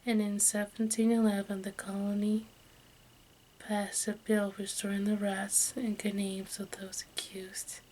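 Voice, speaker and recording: A woman whispers an ASMR reading in a breathy, unvoiced voice. Her delivery is slow and halting, with little rise or fall in pitch. A steady background noise sits under her voice. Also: low-quality recording. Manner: halting, stumbling read-aloud delivery. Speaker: host of an ASMR channel.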